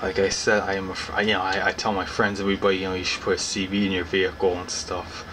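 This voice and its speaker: A man's voice: low-pitched, completely flat delivery, quick pace. A young man talking casually to camera about his hobby in a rambling, informal, folksy way. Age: young adult. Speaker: hobbyist vlogger. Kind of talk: casual explanatory talk to camera. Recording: clearly noisy background ambience.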